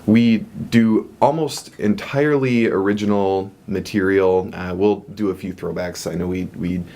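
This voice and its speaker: A young man, the director of a performance troupe, answering radio interview questions earnestly with many pauses and fillers. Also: low-pitched, steady tone, gravelly, mellow.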